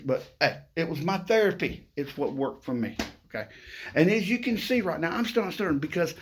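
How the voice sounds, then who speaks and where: Middle-aged man who stutters, upbeat and playful, pitched a bit high, talking straight to camera. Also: animated, a little fast, a little hiss or hum. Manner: upbeat, playful, keeps adding "okay?". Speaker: vlogger. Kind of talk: casual motivational talk to camera.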